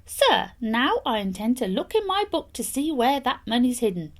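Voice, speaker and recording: Woman playing a simple peasant man, bogus doctor, blurting, emphatic, animated swings, storybook farmer. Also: on the high side, normal speed, pitched above the performer's own voice. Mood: determined.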